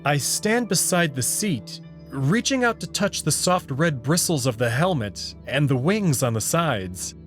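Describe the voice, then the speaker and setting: A man reading expressive first-person narration for a visual novel story. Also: on the high side, a little slow. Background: background music.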